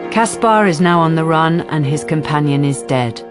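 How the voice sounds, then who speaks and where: A woman's voice: low-pitched, lively intonation, normal speed. A woman narrating a scripted crime radio drama in a sober storytelling style. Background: prominent music.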